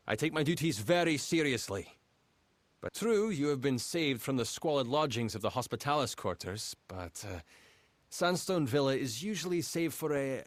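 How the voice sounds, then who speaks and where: An actor playing an officious, self-important gate registrar in a video game, speaking in a formal, fussy manner. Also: male voice, mid-range voice, dry recording, lightly acted. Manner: formal, fussy, stammers when flustered.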